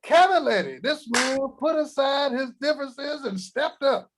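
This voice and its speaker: An actor at a table read playing a boastful, crude, newly rich grandfather, talking in a slow drawl. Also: very high-pitched, expressive, noisy background.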